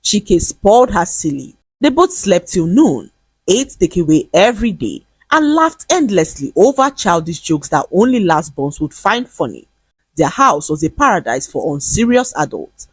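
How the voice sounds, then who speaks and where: A woman storyteller, narrating a comic moral tale in a lively, expressive way. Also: fairly low pitch, moderate pace, slightly rough, no echo.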